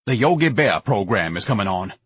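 A man's voice: lowish voice, sing-song, a little fast, a bit bright, slightly rough, dry recording, exaggerated voice. A man voicing a pompous, bombastic, indignant grown son who declaims haughtily and theatrically in an audiobook. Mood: irritable, imperious.

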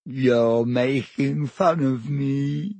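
A man's voice: mid-range voice, very slow, dark tone, slight background noise. A man voicing a sulky, self-pitying young ghost girl in a storybook, whining in a wounded way. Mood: sulky, suspicious.

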